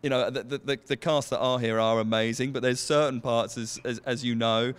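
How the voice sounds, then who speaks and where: Clear-voiced Englishman. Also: medium pitch, fairly even intonation, fairly quick. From England. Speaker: theater producer. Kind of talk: casual promotional interview.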